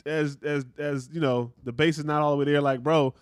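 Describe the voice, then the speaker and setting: A man with a deep voice chats casually as a podcast co-host, animated and full of slang. His delivery is lively, and the sound is dry and close. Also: brisk, clean tone, no room sound. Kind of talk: casual chat.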